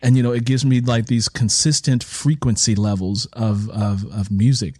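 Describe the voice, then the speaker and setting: A man talking casually as an author in an interview, telling stories in an animated way and repeating his phrases as he goes. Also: mid-range voice, moderate pace, breathy edge, dry recording, clean studio sound. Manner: animated storytelling, repeats phrases and acts out quotes. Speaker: author in conversation about writing.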